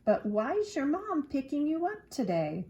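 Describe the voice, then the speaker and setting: A kind storybook schoolteacher, voiced by a woman reader, speaking slowly in a fairly high voice. She instructs and reassures in an encouraging way. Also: sing-song, dark tone, muffled recording, full character voice. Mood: brisk, friendly.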